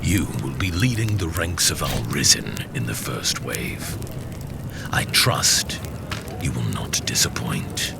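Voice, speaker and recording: A man playing a cold, scheming warlord villain with a gravelly voice in an audio drama. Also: extremely low pitch, takes it slowly, music in the background, full character voice. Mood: cold, commanding. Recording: noticeable background noise.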